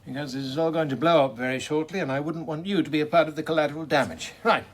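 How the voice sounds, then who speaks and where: An actor playing an arrogant, scornful senior surgeon in a radio drama, with a clipped, condescending manner. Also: male voice, a little high, fast talker, natural voice. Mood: brisk, impatient. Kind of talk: BBC radio drama acting.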